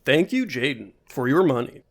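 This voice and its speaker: A man's voice: medium pitch, expressive, normal speed. A young man co-hosting a casual podcast, throwing in dry joking asides. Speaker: podcast co-host.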